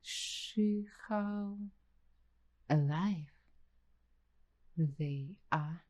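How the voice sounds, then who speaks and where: An uneasy young waitress, acted by a woman in a slow ASMR roleplay. Her voice is low and mellow, and she talks extremely slowly, in hushed fragments with long pauses, as if confiding her suspicions to the listener. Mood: intrigued. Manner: hushed, halting delivery in short broken phrases with long pauses.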